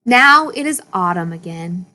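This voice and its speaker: Slow-reading woman telling a children's story. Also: lowish voice. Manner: simple, expressive storytelling for young children. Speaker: story reader. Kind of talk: slow read-aloud of a children's picture book.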